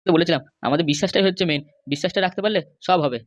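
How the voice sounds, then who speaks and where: A man's voice: high-pitched, racing, lightly acted. A man voicing a henpecked, pleading middle-aged cartoon husband with a West Bengal accent. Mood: wheedling. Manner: wheedling, grumbling. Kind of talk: comic cartoon dialogue.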